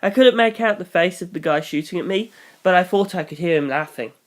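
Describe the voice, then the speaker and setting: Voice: mid-range voice, a little fast. A reader narrating a first-person action story in a storytelling style. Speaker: audiobook reader.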